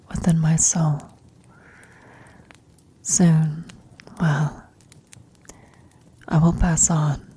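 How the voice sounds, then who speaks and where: A woman acting a frail, dying old adventurer in an intimate ASMR roleplay, speaking tenderly and haltingly in a breathy, husky whisper. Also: sits low, mellow, exaggerated voice. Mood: resigned, solemn. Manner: halting, tender, reflective, long pauses.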